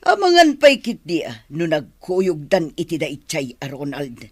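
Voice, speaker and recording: A woman's voice: deep voice, huge pitch swings, moderate pace, darkish tone, dry recording, heavily acted. A woman with an Ilocano accent playing an anxious madam in a radio drama. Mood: worried, suspicious. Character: anxious older madam blamed for a family tragedy.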